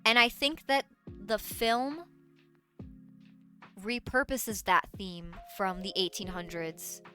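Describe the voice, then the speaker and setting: A woman streamer chats loosely on a livestream, circling back and restarting her sentences. Her voice is bright and sits at a middle pitch. Faint music plays underneath. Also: animated, takes it slowly. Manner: rambling, self-interrupting, restarts a lot. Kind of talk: casual livestream chat.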